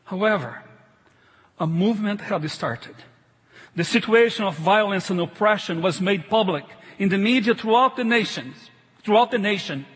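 A middle-aged man with a foreign accent, not a native English speaker, preaches a sermon as a pastor in an emphatic, halting way, repeating phrases. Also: high-pitched, lively intonation, fairly bright tone, slightly rough. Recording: reverberant large hall.

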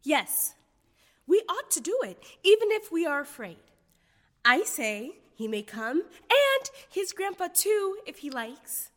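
A woman voicing a shy young girl who speaks in a very high, breathy, halting voice. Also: big pitch swings, in a large hall, heavily acted. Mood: eager, nervous. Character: timid young girl, suddenly spirited.

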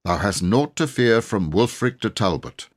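A man's voice: lowish voice, lively intonation, moderate pace. A man voicing a kindly, gallant medieval knight who declaims in pompous, courtly, archaic fashion for a storybook.

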